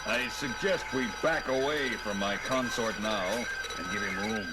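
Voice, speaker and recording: A man's voice: lowish voice, unhurried, very noisy, lightly acted. A man playing a solemn alien visitor who speaks with grave formality in an audio drama. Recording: loud music, some pops. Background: loud dramatic orchestral music.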